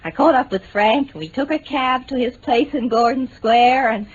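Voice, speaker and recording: A woman's voice: high voice, moderate pace, breathy edge. A woman playing a frank, impulsive young American bride in vintage radio drama, speaking earnestly and a little breathlessly, with an American accent. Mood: eager, confiding. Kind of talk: 1950s-60s BBC radio drama acting. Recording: dull vintage radio recording, faint noise.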